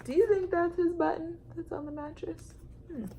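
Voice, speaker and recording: A woman reads aloud for young children in an expressive storytime style. She stresses words with animation and talks to her listeners in a coaxing way. Noticeable noise runs in the background. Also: very high voice, moderate pace.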